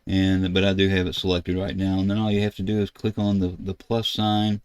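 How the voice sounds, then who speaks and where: Older man, low flat voice. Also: clean tone, no room sound. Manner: halting, rambling explanation. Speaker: ham radio video host. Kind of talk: casual screen-recorded tutorial. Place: indoors at a computer desk.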